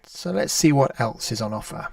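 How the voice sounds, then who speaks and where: A man presenting an online radio livestream in a measured way, walking listeners through a demonstration. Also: medium pitch, expressive, creaky, dry recording, distant mic. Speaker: online radio station host. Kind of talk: calm livestream presentation with website demo. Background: ambient music.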